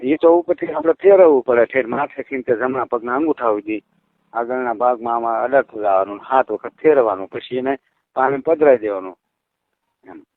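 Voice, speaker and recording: A man's voice: mid-range voice, lively intonation, fairly quick, creaky, dry recording. A man, instructing a caller over the phone in a reassuring way and often asking whether she follows. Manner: reassuring, instructive, repeats checks like "right?". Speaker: ritual healer advising a caller. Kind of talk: phone call. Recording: dry tinny phone line.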